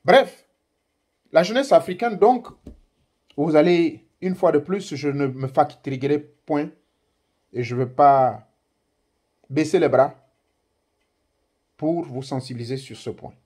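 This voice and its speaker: A man with a husky, slightly raised voice speaks with conviction. His first language is Ngomienne, and his delivery is emphatic and declamatory, with sudden rises on key words. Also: fairly high pitch, slow, long pauses, a little mellow. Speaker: commentator addressing African youth. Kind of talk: impassioned political address to camera.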